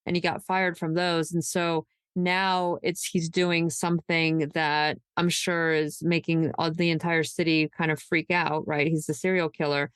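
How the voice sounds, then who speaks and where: A woman's voice: low voice, flat delivery, measured pace, hardly any pauses, clean tone, no echo, clean studio sound. A woman hosting a true crime podcast, discussing it casually in a blunt, opinionated way. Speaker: podcast host.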